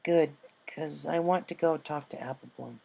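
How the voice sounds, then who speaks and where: A man voicing a frank, big-sisterly female pegasus pony in a storybook, speaking gently and without fuss. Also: on the high side, moderate pace, gravelly, pitched above the performer's own voice, poor audio. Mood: determined.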